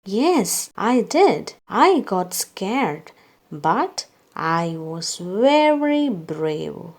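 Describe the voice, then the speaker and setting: Female read-aloud storyteller for small children. Very unhurried pacing, animated intonation, scripted character dialogue. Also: medium pitch. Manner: slow, deliberate delivery for young children.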